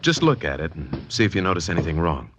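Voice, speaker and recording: An actor playing an earnest, intense artist, in a 1940s radio mystery. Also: male voice, sits low, very animated, fast talker, noisy background. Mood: tense, insistent. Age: 30s. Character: earnest, troubled male artist in his thirties. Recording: dull, dry 1940s radio recording.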